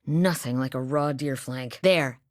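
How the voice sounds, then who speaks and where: Dry-humored northern huntress. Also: female voice, very deep voice, a little slow, dry recording. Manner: dry humor, matter-of-fact. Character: steady, blunt woman hunter from a far northern village. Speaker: game character voice lines. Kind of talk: studio game voice acting. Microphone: close studio mic. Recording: dry studio booth.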